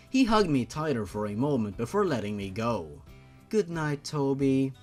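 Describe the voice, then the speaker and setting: A man reading fan fiction aloud in a theatrical, mocking way, as host and commentator. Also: medium pitch. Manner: theatrical, sweary, incredulous asides. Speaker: host, reader.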